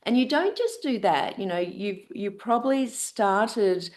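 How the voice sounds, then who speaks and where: A woman's voice: mid-range voice, lively intonation, measured pace, a little mellow. A woman from Australia with an Australian accent hosting an interview, effusive and full of fillers and restarts. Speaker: podcast host.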